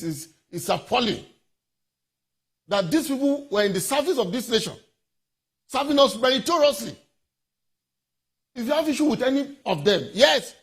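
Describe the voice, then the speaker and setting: A Nigerian man speaking as a panel guest, making his case emphatically and repeating phrases for stress. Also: high voice. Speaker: legal commentator guest. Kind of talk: televised panel debate.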